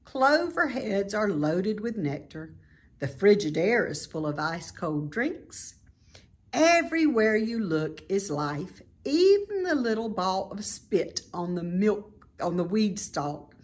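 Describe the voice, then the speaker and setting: Slow, very animated woman reading a storybook. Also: medium pitch. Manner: expressive, deliberate read-aloud delivery. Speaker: storybook reader. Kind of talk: scripted narration of a children's novel.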